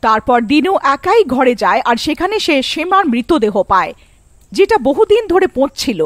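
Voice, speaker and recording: High-pitched, animated woman storyteller. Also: normal speed, no echo. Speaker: narrator. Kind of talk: scripted cartoon fairy-tale narration.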